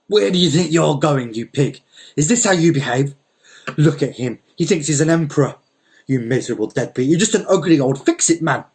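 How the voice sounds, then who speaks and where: A man's voice: a little high, lively intonation, fairly quick, voice pushed up. A man doing a furious, bossy landowner. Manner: shouting insults, domineering.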